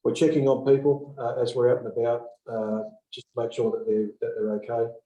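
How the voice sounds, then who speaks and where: Man, fifties, police officer, very dark voice, monotone, echoey room, halting. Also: mid-range voice, lo-fi sound. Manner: halting, many ums and uhs. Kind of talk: online panel discussion. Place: office with blinds. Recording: roomy laptop audio.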